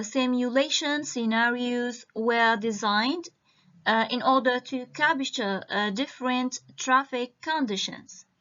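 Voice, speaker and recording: Woman presenter, high voice, very slow halting delivery, muffled video-call sound. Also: sharp tone. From Iraq. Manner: halting, with frequent hesitations. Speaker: conference presenter. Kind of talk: academic conference presentation.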